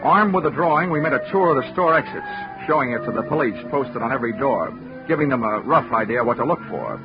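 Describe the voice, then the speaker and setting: A man's voice: medium pitch, lively intonation, fast talker, lightly acted. An actor playing a dry, wisecracking male investigator narrating his case in hardboiled 1940s radio style. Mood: wry. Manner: dry, wry, assured. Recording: muffled 1940s radio broadcast recording. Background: music.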